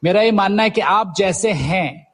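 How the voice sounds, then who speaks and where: A man's voice: sits high, moderate pace, fairly bright tone, slightly rough. A middle-aged man answering as a guest writer in a conversational interview, hesitantly searching for his words.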